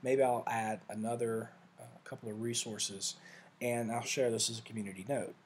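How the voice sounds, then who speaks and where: A man giving a casual, rambling screen-share walkthrough of study software, often correcting himself mid-sentence. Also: mid-range voice, fairly even intonation, moderate pace, slight background noise. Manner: rambling, self-correcting, conversational. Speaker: vlogger reviewing software.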